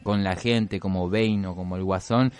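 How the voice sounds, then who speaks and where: A man with a distinctly deep voice and a Rioplatense accent hosts a casual radio chat. His way of talking is informal and agreeable, following along conversationally. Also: fairly even intonation, moderate pace, darkish tone, smooth. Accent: Rioplatense Spanish. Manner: agreeable, informal, frequent affirming interjections. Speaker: radio host.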